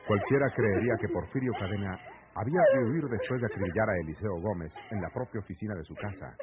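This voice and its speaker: A man's voice: on the low side, lively intonation, quick pace, natural voice. A man with a Mexican accent narrating an old radio serial as a solemn, dramatic storyteller. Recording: tinny old AM radio, music behind.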